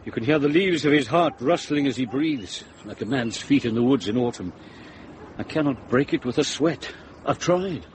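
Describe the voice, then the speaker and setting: An actor playing a good-hearted, fretful monk and shepherd in a radio drama, plaintive and gently grumbling. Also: male voice, mid-range voice, lively intonation, normal speed, slight background noise, lightly acted, rough recording. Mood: worried.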